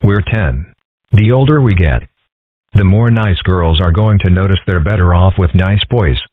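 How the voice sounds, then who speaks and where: A man voicing a calm, dry-witted ten-year-old boy in a cartoon comic dub, with a husky voice and a mild deadpan manner. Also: sits low, normal speed, dry recording. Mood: wry, resigned. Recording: muffled band-limited audio.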